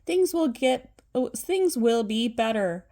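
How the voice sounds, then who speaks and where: An old man from a children's storybook, played by a woman reading aloud. He speaks emphatically in exclamations, with a slightly lifted pitch and strong feeling in his words. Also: lively intonation, slow pace, mellow. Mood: pleading, desperate.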